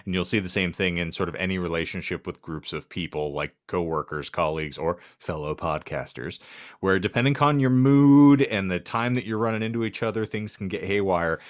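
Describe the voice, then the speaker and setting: A man's voice: low-pitched, a little fast. A man hosting a casual comedic podcast, speaking in wordy, mock-formal phrases with dry humour. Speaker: podcast host.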